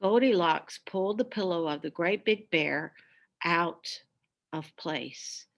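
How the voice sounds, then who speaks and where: A woman reading a children's story aloud with expressive, deliberate, dramatic narration. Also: fairly low pitch.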